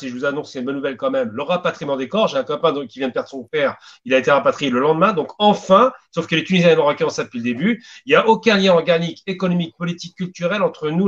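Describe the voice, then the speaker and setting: A man's voice: a little high, fairly bright tone. A man speaking as a guest on a radio debate, making emphatic, reasoned arguments. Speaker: panel guest. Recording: dull lo-fi radio sound.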